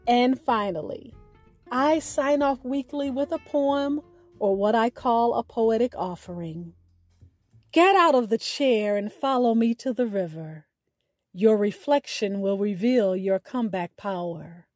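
Female podcast host, measured scripted delivery with poetic phrasing, unhurried pace, slightly high voice. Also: animated, darkish tone, faint music behind. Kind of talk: scripted radio show sign-off with spoken poetry.

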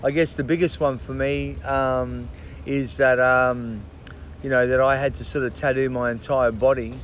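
Man, podcast guest, halting, filler-heavy, casual swearing, faint background noise. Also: medium pitch, flat delivery, a bit bright. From Australia. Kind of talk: casual interview chat.

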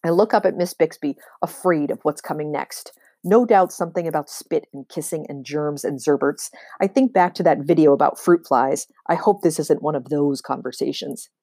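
A woman reading a children's novel aloud with expressive, emphatic storytelling. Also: deep voice, normal speed, hardly any pauses, dry recording. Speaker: read-aloud reader.

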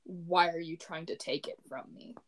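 A young woman in casual conversation about poetry, soft-spoken and often murmuring agreement. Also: on the low side, flat delivery, normal speed. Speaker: co-host discussing poetry. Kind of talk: casual chat.

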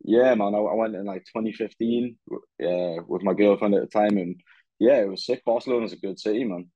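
A man's voice: fairly low pitch, a little fast, breathy edge, no echo, highs cut off. A young man chatting casually about fights over a video call, laid-back and peppering his talk with "yeah, man". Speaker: podcast host. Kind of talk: casual fight-recap chat.

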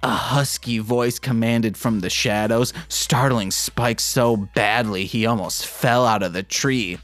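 Male podcast host, unhurried, mid-pitched, mock-sultry comic reading with self-mocking asides. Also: steady tone, fairly bright tone. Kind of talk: comedic read-aloud of fan fiction with asides.

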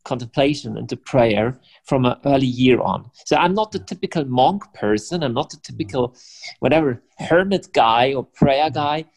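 A man's voice: mid-range voice, expressive, normal speed. German-accented man in his forties. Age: 40s. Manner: reflective, with stammered repeats when starting a thought. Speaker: guest, prayer house leader. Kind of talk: podcast interview.

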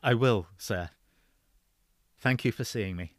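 A man's voice: fairly low pitch, takes it slowly, no echo, clean studio sound. A man voicing an earnest adult son.

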